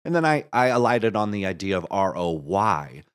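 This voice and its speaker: A man's voice: sits low, very animated, normal speed, smooth. A man being interviewed as a guest, casually explaining his creative project in a rambling way, thinking aloud and restarting his sentences. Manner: rambling, thinks aloud with repeated false starts. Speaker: guest, writer and director of an audio musical.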